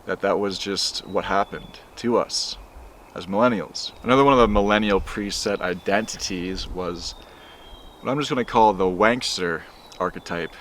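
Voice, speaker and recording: A young man narrating a commentary video in a measured, lecturing way. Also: low voice, a bit bright, slight background noise.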